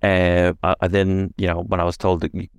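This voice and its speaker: A man from Singapore talking as an interview guest, halting and self-deprecating, laughing at himself. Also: deep voice, completely flat delivery, gravelly, clean tone, dry recording. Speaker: podcast guest. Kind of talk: casual interview conversation.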